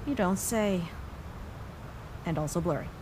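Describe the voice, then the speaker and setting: A woman voicing a brash, mocking young punk adventurer in an animated comic dub, with a cocky, sarcastic delivery. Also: lowish voice, unhurried, heavy background noise, lightly acted. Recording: music behind the voice.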